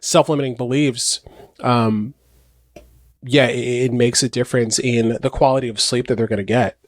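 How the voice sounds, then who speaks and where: A man's voice: mid-range voice, fairly even intonation, moderate pace, dry recording, studio quality. A man hosting a podcast interview, affirming his guest often and asking loose, rambling questions. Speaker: podcast host. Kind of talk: conversational interview.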